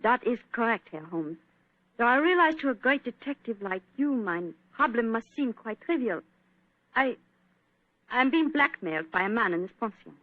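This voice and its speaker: A woman's voice: on the high side, animated, moderate pace, no room sound, natural voice. An actress plays a frightened young Viennese pianist, a woman whose English comes out broken and pleading, bent by a German accent. The sound is dry and narrow, like an old radio broadcast. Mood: anxious. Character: young female Viennese concert pianist, anxious. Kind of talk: 1940s radio acting.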